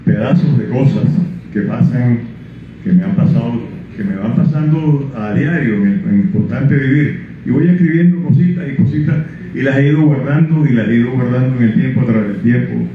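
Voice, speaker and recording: An older man speaks as an author at his book launch. His talk is mid-pitched and halting, full of restarts. The sound is very echoey and thin, like a phone line, with faint hiss. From Colombia. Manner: halting, with many restarts and fillers when speaking freely. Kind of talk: reading prologue, then answering questions. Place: book presentation event. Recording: echoey room over a tinny lo-fi line.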